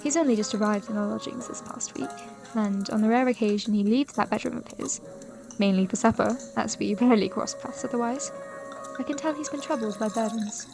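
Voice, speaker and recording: A woman as a high-voiced, genteel young lady. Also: brisk, vocal fry, over music. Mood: curious, reflective. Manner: formal, thoughtful, storytelling. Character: curious, genteel young woman of 1780s Vienna. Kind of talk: narrated period audio drama.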